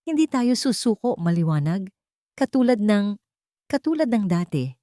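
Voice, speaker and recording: A woman voicing a gentle, hopeful female caregiver who speaks softly and politely in a drama story. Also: medium pitch, sing-song, moderate pace, no room sound, studio quality, voice pushed up, natural voice. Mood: tender resolve. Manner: soft, polite, tender.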